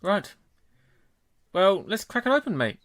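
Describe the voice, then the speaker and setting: Upbeat man, slightly high voice. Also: very animated, a little slow, fairly bright tone, no room sound. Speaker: podcast co-host. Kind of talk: casual chat.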